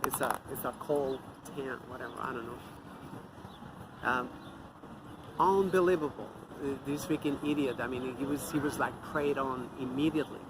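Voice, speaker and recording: A man's voice: medium pitch, normal speed, noisy background. Angry man ranting outdoors. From Mexico. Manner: angry, profane, scornful. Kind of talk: off-the-cuff ranting monologue.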